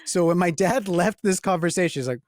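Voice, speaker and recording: A man's voice: sits high, brisk. A man hosting a podcast, speaking reflectively in a casual chat and laughing easily. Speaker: host.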